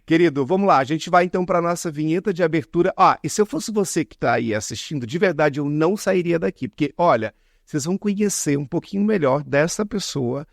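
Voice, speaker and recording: A man's voice: on the high side, expressive, a little fast, gravelly, few pauses, dry recording, studio quality. A man with a Brazilian accent hosting a podcast interview in a chatty, informal, complimentary way. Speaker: podcast host. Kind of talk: conversational interview.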